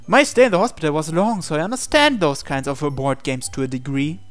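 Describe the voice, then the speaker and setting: A woman's voice: sits low, quick pace, soft background music. A woman reading translated visual novel text aloud, acting out the hero's lines in a conversational way. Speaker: game player reading the hero's dialogue aloud.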